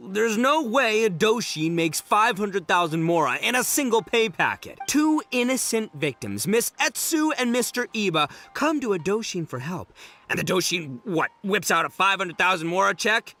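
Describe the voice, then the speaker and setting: A man's voice: sits high, sing-song, normal speed, bright, forceful tone, faint music behind, full character voice. A man voicing a brash, dim-witted but good-hearted young oni gang leader in an anime video game, boisterous and theatrical with slangy exclamations. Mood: dramatic, earnest.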